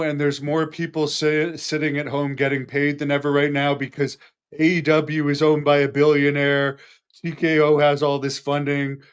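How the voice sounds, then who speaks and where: Man, interview host, eager and informed, rather high voice. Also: clear, resonant tone. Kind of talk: casual interview chat.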